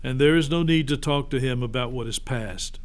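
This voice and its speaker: A man voicing a calm, commanding male lion in a storybook, grave and measured. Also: medium pitch, close to an everyday voice. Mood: grave authority.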